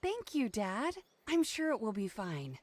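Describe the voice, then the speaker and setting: Fairy-tale game: affectionate young bride, gentle, earnest, lightly high and very expressive. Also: female voice, unhurried, full character voice. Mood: reassuring. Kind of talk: fantasy game voice acting. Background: music.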